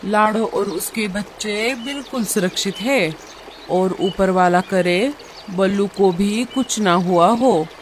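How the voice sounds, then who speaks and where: Woman voicing an unhurried, motherly old cartoon bird. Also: medium pitch, a little hiss or hum, natural voice. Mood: reassuring, advising. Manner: instructing, reassuring. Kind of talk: cartoon dialogue. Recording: music under the voice.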